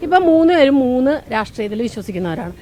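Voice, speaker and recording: A woman's voice: on the high side, sing-song, very fast, crowd noise. A woman, a chatty and inquisitive TV news reporter doing casual street interviews outdoors. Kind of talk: casual on-location vox-pop interviewing and commentary. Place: outdoors under a shade tree at a public ground.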